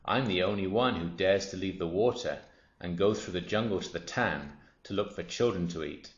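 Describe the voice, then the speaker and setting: A man's voice: low-pitched, normal speed, close to an everyday voice. A man voicing an enormous, greedy, boastful crocodile in a children's storybook, relishing and drawing out the words with sinister glee. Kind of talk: children's storybook character reading. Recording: roomy, dull lo-fi sound.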